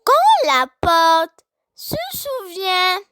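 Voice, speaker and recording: Very high childlike voice reciting poetry slowly. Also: sing-song, pushed and bright, no room sound, studio quality. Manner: deliberate, phrase-by-phrase delivery with long pauses. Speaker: poem reciter. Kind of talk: slow recited children's poem for learners.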